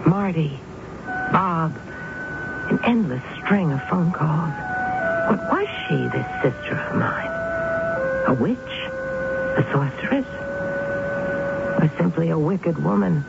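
An actress playing a stern, prim, obsessive unmarried sister in a radio mystery drama, with a clipped, dramatic delivery. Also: female voice, low-pitched, big pitch swings, slow, vocal fry, long pauses, full character voice. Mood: brooding narration. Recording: noisy, dull old radio broadcast.

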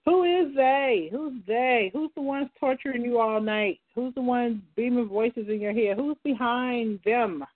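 A woman's voice: a little high, unhurried, few pauses. Woman call-in host on a phone line. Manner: direct, poses questions to listeners. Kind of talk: call-in talk show hosting.

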